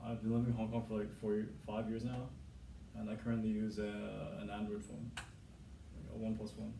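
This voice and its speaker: A man in a focus group speaking briefly and in a relaxed way. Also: lowish voice, brisk, gravelly, reverberant, far from the mic, noisy background. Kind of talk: casual discussion.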